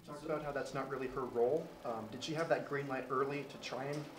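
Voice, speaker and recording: Male reporter firing quick questions from the floor, recorded with room echo and noise. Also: mid-range voice, steady tone. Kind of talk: asking questions at a press conference. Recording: echoey room with clear noise.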